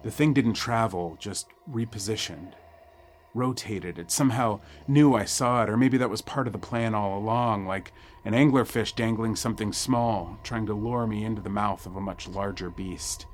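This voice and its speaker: A man narrating a first-person horror audio drama in a wry, suspenseful way with dramatic pauses. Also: lowish voice, a little hiss or hum. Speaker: storyteller narrating a nightmare.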